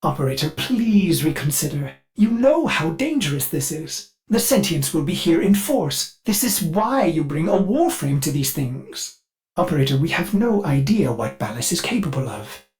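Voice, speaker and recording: A man's voice: on the high side, big pitch swings, moderate pace, darkish tone, faint music behind. A man voicing a devoted, anxious ship AI in a video game, speaking in a formal, theatrical and solicitous way. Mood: anxious pleading. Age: older. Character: fussy, devoted ship AI, eager, anxious, glitchy servant. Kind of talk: scripted video game voice acting.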